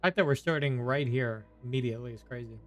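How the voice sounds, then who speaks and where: A man's voice: mid-range voice, fast talker, soft background music. A man giving casual, reactive gameplay commentary as a streamer. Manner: reactive, offhand. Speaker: game streamer.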